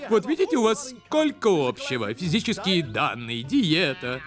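A man's voice: high-pitched, big pitch swings, moderate pace, faint music behind. An actor voicing a bossy male owl who lectures theatrically like a nature-show presenter in a cartoon dub. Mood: lecturing. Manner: didactic, theatrical.

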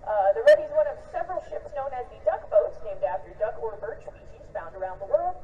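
A woman's voice: sits high, sing-song, fairly quick, muffled recording. A woman announcing passing ships over a public address system, friendly and chatty. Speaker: ship announcer. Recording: distant loudspeaker with faint noise.

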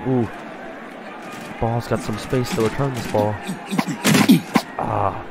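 A man with a stuffy voice from a cold, commentating his own video game play and getting excited on big plays. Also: fairly low pitch, takes it slowly, gravelly, very noisy. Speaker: gaming channel host.

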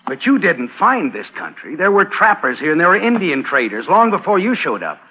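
Steadfast homesteader, very lively intonation. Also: male voice, a little high, fairly quick, slightly rough, soft background music. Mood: firm. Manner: plain-spoken, firm. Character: principled, steadfast homesteader, family man. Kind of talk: 1950s radio drama acting. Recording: tinny old radio recording.

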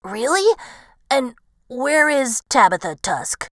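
A woman voicing a brash, eager male fox in a storybook tale, with a cocky, excitable manner. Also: high voice, takes it slowly, no echo, studio quality, exaggerated voice.

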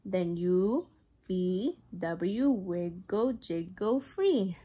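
A woman's voice: medium pitch, expressive, extremely slow, dark tone, husky, dull audio. A young woman, a preschool teacher, reads a picture book aloud in a sing-song way for young children. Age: young adult.

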